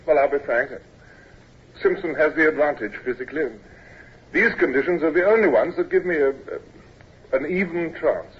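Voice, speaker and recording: Young midshipman, solemn, hesitant, polite, higher-pitched, old radio drama, dull recording. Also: normal speed, pauses often, slightly rough. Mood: solemn resolve. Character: solemn, stubborn, desperate young naval midshipman.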